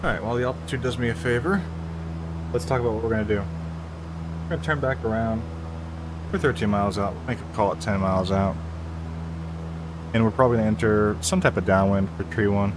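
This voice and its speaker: An American man giving casual, easygoing tutorial commentary on a flight simulator, thinking aloud and making pilot radio calls. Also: on the low side. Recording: steady hum and engine drone. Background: flight simulator engine hum.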